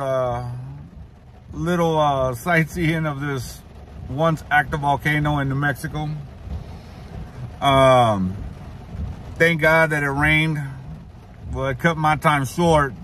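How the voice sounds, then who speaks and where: A man's voice: fairly high pitch, slight background noise. A man in his thirties, a truck driver, talking casually to viewers into a phone held at arm's length while touring outdoors. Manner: relaxed, chatty, addresses viewers as guys. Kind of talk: casual travel vlog. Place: outdoors on a volcano trail and in a truck cab.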